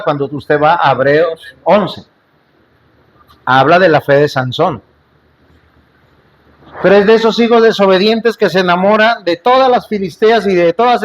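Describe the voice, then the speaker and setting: A man with a fairly high voice preaching a sermon in a church. He drives each point home with repeated phrases and rhetorical questions to the congregation. He slips in small jokes and asides between them. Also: dull audio, a little hiss or hum. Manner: emphatic, repetitive rhetorical preaching with questions to listeners.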